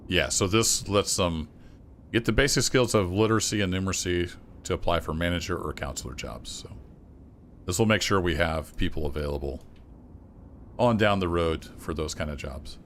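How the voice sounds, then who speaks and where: A man streaming a city-building game, chatting casually and thinking aloud as he plays, his voice a little rough. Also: low voice, moderate pace, a little hiss or hum. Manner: relaxed, thinking aloud with fillers and restarts. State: slightly rough voice. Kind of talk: casual gameplay commentary.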